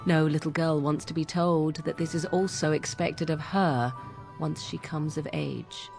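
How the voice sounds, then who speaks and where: Young wild witch for game drama: lowish, disdainful, formal, sarcastic, over faint music. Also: steady tone, unhurried, gravelly, a little mellow. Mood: bitter, wry.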